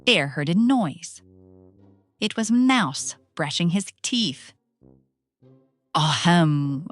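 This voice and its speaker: A woman storyteller giving an expressive read-aloud narration for children. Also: medium pitch, slow. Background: soft music.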